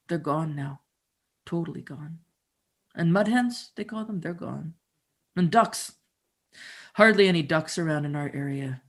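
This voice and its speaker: A woman voicing an older male trapper and fisherman who speaks quietly and plainly about loss, with a somber, folksy manner. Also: a little high. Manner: quiet, somber, folksy with repeated "you know".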